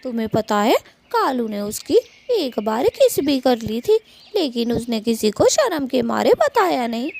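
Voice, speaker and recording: Woman voicing an animated gossipy cartoon bird friend. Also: on the high side, a little slow, vocal fry, exaggerated voice. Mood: admiring, pitying. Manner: gossipy, exasperated. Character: exasperated, sympathetic gossiping female bird friend. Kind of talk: Hindi cartoon story dubbing.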